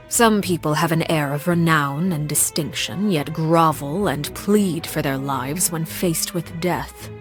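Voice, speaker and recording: A stern, dangerous woman guardian from a video game, composed and coolly detached. Her voice sits fairly low and is husky and breathy, softening at phrase endings. The recording is close and dry, and she speaks with formal, deliberate menace. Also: no room sound. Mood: cold, quietly threatening. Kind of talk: video game character monologue.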